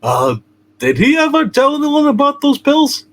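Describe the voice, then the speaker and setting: A man's voice: very high-pitched, wildly swooping pitch, normal speed, voice pushed up, full character voice. A man voicing a naive, curious, kindly junior angel with a hesitant, inquisitive manner in an amateur radio play. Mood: eager, curious.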